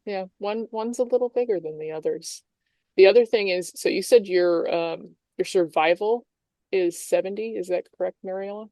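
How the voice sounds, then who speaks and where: Creaky-voiced woman game master. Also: mid-range voice, normal speed, warm tone, no echo. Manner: conversational, explanatory. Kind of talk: improvised tabletop game narration.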